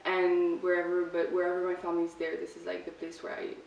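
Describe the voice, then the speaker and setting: An eighteen-year-old woman from Lebanon answering personal questions in a relaxed, reflective way, full of "like" fillers. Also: on the low side, brisk, vocal fry, recorded from a distance, dull audio. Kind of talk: casual personal answers to camera. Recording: echoey room, speaker set back from the mic, faint hiss.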